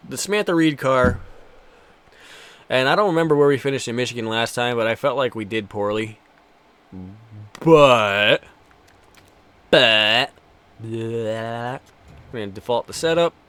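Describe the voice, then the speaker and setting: A man's voice: medium pitch, unhurried, long pauses, a bit bright, clean tone. A man in his twenties doing casual, foul-mouthed live commentary while streaming a racing game, bantering jokily with viewers.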